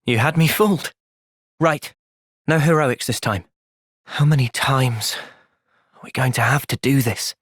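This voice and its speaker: Earnest young game hero. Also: male voice, mid-range voice, unhurried, pauses often, breathy edge, no echo, clean studio sound. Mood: calm, resolute. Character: earnest, thoughtful young male hero, loyal to friends. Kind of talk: video game cutscene voice acting.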